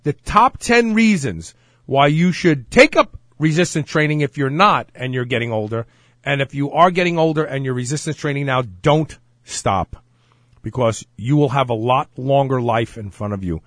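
A man's voice: mid-range voice, lively intonation, moderate pace, fairly bright tone, dry recording, studio quality. A man in his fifties hosting a radio talk show, chatting energetically and proudly about getting older. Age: 50s. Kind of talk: casual radio show chat.

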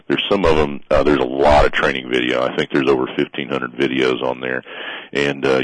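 Very deep-voiced gruff middle-aged man. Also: no room sound, poor audio. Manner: rambling, many restarts and fillers, gruff joking. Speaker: strength coach guest. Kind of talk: casual radio conversation.

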